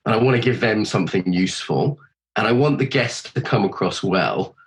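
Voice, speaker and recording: A middle-aged man with a cold, a podcast host being interviewed, chatting loosely, swearing freely and thinking out loud. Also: fairly low pitch, expressive, a little fast, poor audio. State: has a cold. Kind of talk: casual chat.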